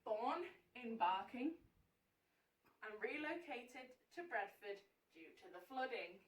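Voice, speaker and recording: A woman plays a solemn advocate in a stage monologue. Each phrase is slow, formal and deliberate. The sound is echoing and somewhat distant from the microphone. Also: fairly high pitch, lively intonation. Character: solemn courtroom advocate formally presenting exhibits and witnesses. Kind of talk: theatrical dramatic monologue. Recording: roomy echo, distant microphone.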